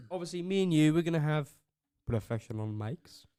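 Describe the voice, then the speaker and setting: A young man co-hosting a casual podcast, teasing his brother with quick interjections. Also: a little high, lively intonation, mellow, no room sound, clean studio sound. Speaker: podcast co-host. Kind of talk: casual chat. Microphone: studio podcast mic.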